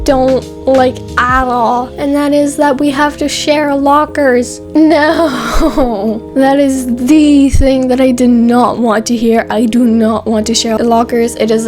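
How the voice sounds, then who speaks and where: A high-voiced teenage girl talks over her game footage in a loose, rambling voiceover, giggling between thoughts. She sounds a little congested, close on a desk condenser mic. Music plays clearly underneath. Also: fairly even intonation, slow, few pauses. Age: teens. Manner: chatty and rambling, giggles often. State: a bit congested. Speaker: gaming YouTuber. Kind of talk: voiceover commentary for a building video. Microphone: USB condenser mic.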